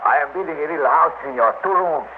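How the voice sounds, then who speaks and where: An actor playing a humble, hesitant Mexican stable hand in an old radio drama, meek and respectful. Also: male voice, a little high, expressive, quick pace, phone-quality sound. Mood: meek, uneasy. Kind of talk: 1960s radio drama acting. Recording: old, noisy, phone-thin radio recording.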